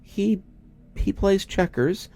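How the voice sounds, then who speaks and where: A young woman caretaker, acted by a male narrator, speaks in a raised, halting voice. She sounds uneasy while trying to reassure, a storybook character over background music. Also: sits high, animated, darkish tone, pitched above the performer's own voice, heavily acted. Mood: uneasy, reassuring. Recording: music behind the voice.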